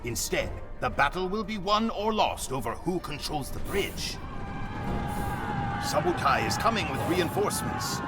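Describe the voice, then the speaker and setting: An actor playing a solemn, proud Mongol warrior who narrates his people's conquests in a grave, theatrical manner for a game cutscene. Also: on the high side. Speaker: campaign narrator. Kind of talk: dramatic scripted cutscene narration. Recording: music behind the voice. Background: music.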